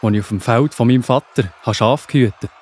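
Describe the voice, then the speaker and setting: A brave young shepherd played by a man in a children's Bible audio play. His voice is husky and mid-pitched, with a Swiss German accent, and he declares himself earnestly and defiantly. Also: quick pace, a little mellow, lightly acted. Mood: indignant, determined. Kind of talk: children's audio drama acting.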